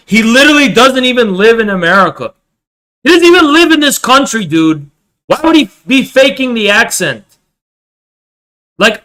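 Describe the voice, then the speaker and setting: High, bright ranting streamer man. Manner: ranting, profane, repetitive mocking. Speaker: streamer reacting. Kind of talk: live stream commentary.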